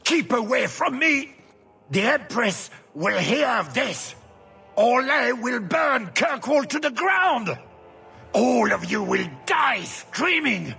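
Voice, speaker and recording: A man's voice: very high voice, very animated, slow, vocal fry, bright, forceful tone, breathy edge, heavily acted. An actor playing a pompous, vengeful nobleman villain, haughty and theatrical, in a video game. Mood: desperate rage. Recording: music behind the voice.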